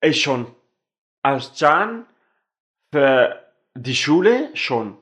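A man's voice: fairly high pitch, very animated, very slow, a bit bright, highs cut off. A young man in casual group chat, mixing some English slang into his German.

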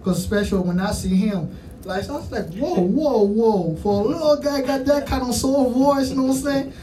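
A man's voice: extremely high pitch, normal speed, warm tone, recorded from a distance, low-quality recording. A man with a Haitian accent interviewing musicians in casual, rambling chat, full of "you know what I'm saying", recorded off-mic with faint noise behind.

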